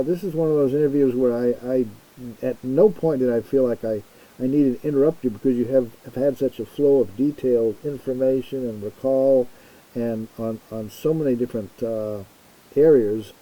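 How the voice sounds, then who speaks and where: A man's voice: mid-range voice, normal speed, warm tone, poor audio. A male interview host speaking casually and hesitantly, with fillers and repeated words.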